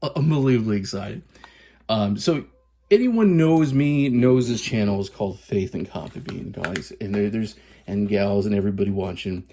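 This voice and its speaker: A man in his thirties from Southern California, chatting excitedly and casually as he reviews coffee, with plenty of fillers and restarts. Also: on the low side, normal speed, a little hiss or hum. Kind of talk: casual vlog chat.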